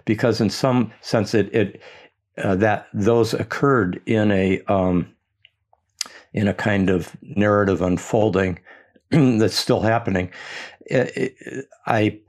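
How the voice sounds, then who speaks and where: A man's voice: lowish voice, takes it slowly, darkish tone. An older man from the United States speaking reflectively with many hesitations, restarts and fillers. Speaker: speaker on his intellectual path. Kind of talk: reflective personal talk.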